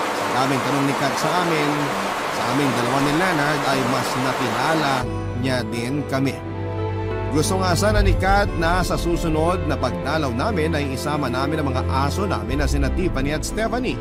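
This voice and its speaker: A man's voice: mid-range voice, lightly acted. An actor playing a sincere, reflective young man who narrates his own love story in a radio drama. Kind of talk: first-person dramatized narration. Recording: loud music bed under the voice.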